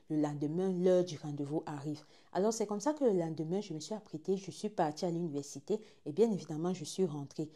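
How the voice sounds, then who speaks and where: A Gabonese woman in her twenties with a Gabonese French accent, telling a personal story dramatically, dropping to hushed asides and rising to excited exclaims. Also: on the low side. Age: 20s. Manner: animated, swings from hushed asides to loud exclaims, repeats phrases.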